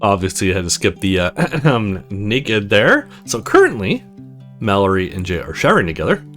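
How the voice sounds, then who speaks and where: A man's voice: lowish voice, very animated, normal speed. A man hosting a gaming video, narrating a visual novel aloud and adding his own casual comments. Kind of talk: let's play narration, reading a story aloud. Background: soft guitar music.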